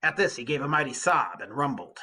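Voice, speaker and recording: A man's voice: medium pitch, very animated, fairly quick, sharp tone, slightly rough. A man reading literature aloud as a teacher, breaking off to explain it in an emphatic, colloquial way. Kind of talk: literature read aloud with informal commentary.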